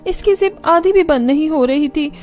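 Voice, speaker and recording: A woman's voice: sits high, moderate pace, darkish tone, lightly acted. A woman playing a pleading, childlike young wife in a scripted cartoon story, with a whiny manner. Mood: sad. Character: plaintive young overweight wife, childish and food-loving. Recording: music under the voice.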